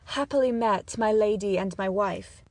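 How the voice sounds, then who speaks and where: Woman acting young male noble suitor, assured, formal verse delivery, medium female pitch, no room sound. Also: normal speed, a little mellow, no room sound, close to an everyday voice. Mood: courtly, assured. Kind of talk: Shakespearean dramatic reading.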